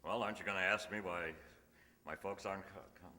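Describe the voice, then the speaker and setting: A man's voice: deep voice, fairly even intonation, fast, bright, forceful tone. A man playing an earnest male schoolteacher in an old-time radio sitcom, speaking politely. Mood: bashful. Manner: hesitant, polite. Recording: noisy hall recording, long reverb, clicks.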